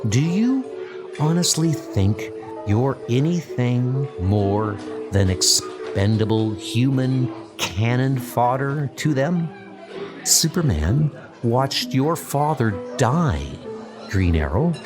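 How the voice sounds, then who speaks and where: A man voicing a scheming, seductive sorceress in a comic book dub, speaking in a drawn-out, persuasive way. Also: mid-range voice, expressive. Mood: scornful. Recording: music bed under the voice.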